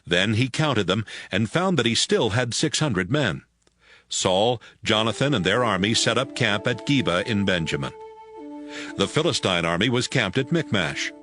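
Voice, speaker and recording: A man narrating scripture from a script in a measured storytelling manner. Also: lowish voice, lively intonation, a bit bright, faint music behind.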